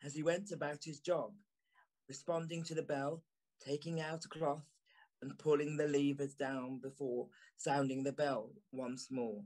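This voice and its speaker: A male actor as a gentleman storyteller narrating an eerie Victorian audio play. His voice sits a little high, and his delivery is measured and suspenseful. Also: little pitch movement, lightly acted, rough recording. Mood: reflective. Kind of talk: scripted dramatic narration.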